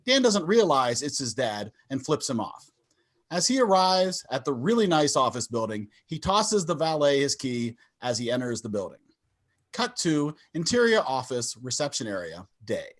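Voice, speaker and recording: A man's voice: mid-range voice, very animated, moderate pace. A man reading scripted stage directions as narrator of a table read over a video call.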